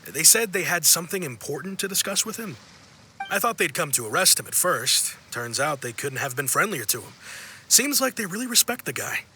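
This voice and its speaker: An actor playing an easygoing, friendly young-sounding sailor in a video game, chatty and casual. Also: male voice, fairly high pitch, sing-song, a little fast, bright, forceful tone, slight background noise, heavily acted. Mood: impressed, casual. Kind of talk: video game cutscene acting.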